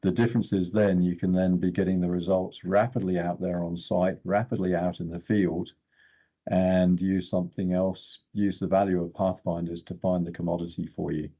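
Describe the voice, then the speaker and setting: Older man, deep mellow register, rough-edged tone, technical explainer, opinionated, muffled lo-fi recording. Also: level tone, moderate pace. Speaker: guest geochemist. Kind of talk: technical interview talk. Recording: dull, lo-fi sound.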